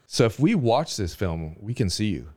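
A man hosting a casual interview, playful and teasing with quick jokes. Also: fairly low pitch, sing-song, darkish tone, dry recording, studio quality. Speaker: podcast host.

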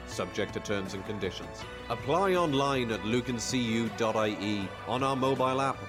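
An Irish man reading a scripted radio advertisement. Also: mid-range voice. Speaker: advertisement voice-over. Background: music bed.

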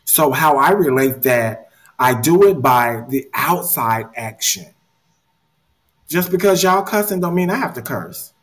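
A man leading a casual motivational discussion, earnest and animated, often repeating words as he thinks. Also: medium pitch, measured pace.

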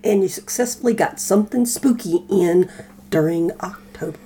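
A woman's voice: fairly low pitch, normal speed, warm tone, slightly rough. A woman co-hosting a podcast in casual chat, with a teasing, playful manner. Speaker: podcast co-host.

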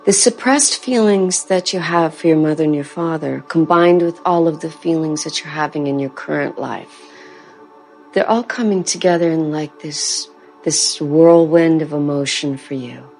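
Film therapist, composed analytical woman, low-pitched and mellow, music underneath. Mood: calm, analytical. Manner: measured, analytical. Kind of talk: horror film dialogue.

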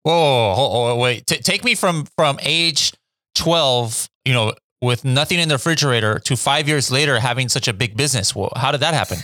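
A man hosting a conversational podcast interview in an informal, animated way. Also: medium pitch, moderate pace, no echo. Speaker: podcast host.

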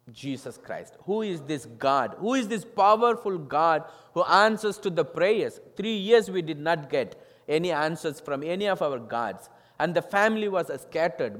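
A middle-aged man with an Indian accent, a native Tamil speaker, preaching his testimony in a church, somewhat high for a man. His English is simple and earnest, with some non-native grammar. The voice carries a long echo from the big church hall. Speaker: guest pastor. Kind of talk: testimony sermon. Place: church sanctuary.

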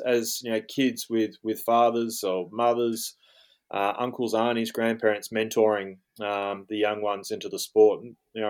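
This is an Australian man around forty with a fairly low voice. He keeps his pitch flat and even. He speaks casually as an interview guest and pads his speech with plenty of "um" and "you know". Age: 40s. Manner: rambling, lots of fillers like um and you know. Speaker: guest, fly fishing guide. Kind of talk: relaxed interview talk.

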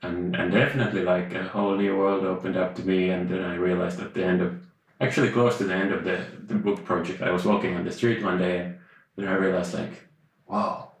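Man, author in interview; low monotone, halting delivery, very echoey room. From Finland. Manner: halting, many restarts and fillers. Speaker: author and researcher. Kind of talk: reflective interview talk.

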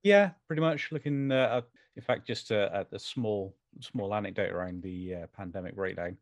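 Male podcast guest, voice actor talking as himself. Lowish pitch, courteous, tentative phrasing, dry close sound. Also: moderate pace, no room sound. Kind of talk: casual interview conversation.

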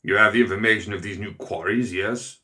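A man voicing a gruff, boisterous older aristocrat hunter who barks and growls his lines. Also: on the low side, fairly quick, sharp tone. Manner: barking, growling.

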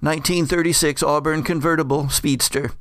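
A man's voice: on the high side, fairly even intonation, a little fast, no room sound, lightly acted. A man playing a dying rich old man who rambles through his will with dry, blunt asides. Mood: fond, wry.

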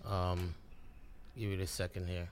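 A man hosting a casual podcast chat, relaxed and steering the conversation along. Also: sits low, unhurried, clear, resonant tone. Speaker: podcast host.

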